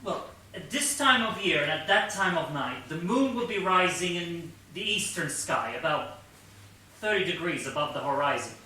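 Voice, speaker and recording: An actor playing an earnest, pedantic detective sidekick in a comic stage play, explaining things with precise, patient logic. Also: male voice, on the high side, expressive, normal speed, bright, forceful tone. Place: theatre stage, mic far off. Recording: distant, echoey theatre stage recording, faint hiss.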